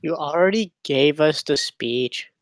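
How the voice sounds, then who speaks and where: A woman's voice: low voice, animated, measured pace. A woman at a screenplay table read playing a flippant, questioning drone pilot.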